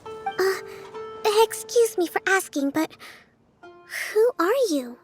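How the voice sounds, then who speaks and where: A woman's voice: very high-pitched, expressive, slow, faint music behind, heavily acted. A woman voicing a polite, thoughtful young female advisor with careful, courteous phrasing in a video game. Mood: polite, inquiring.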